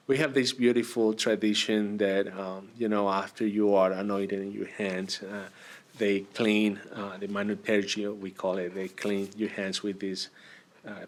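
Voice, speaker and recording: Reflective priest from Argentina. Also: male voice, on the low side, little pitch movement. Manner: unhurried, reflective, with frequent pauses and hesitations. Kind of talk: personal story in an interview.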